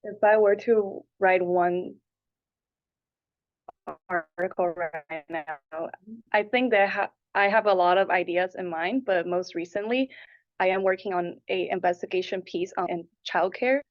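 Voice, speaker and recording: Young woman with a lowish voice, muffled recording. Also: a little slow. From Taipei and Hong Kong. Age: 20s. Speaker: interviewee, applicant. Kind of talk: answering interview questions. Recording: dull, lo-fi audio.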